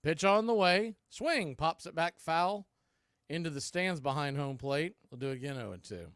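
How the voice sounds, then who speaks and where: An American man doing live baseball play-by-play in clipped, punchy calls with pauses, mixed with casual fan chat. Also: on the high side, animated, a bit bright, smooth, no room sound, clean studio sound.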